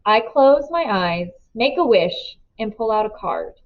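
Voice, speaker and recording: A woman narrating a children's novel aloud in an expressive storytelling style. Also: medium pitch, measured pace, muffled recording.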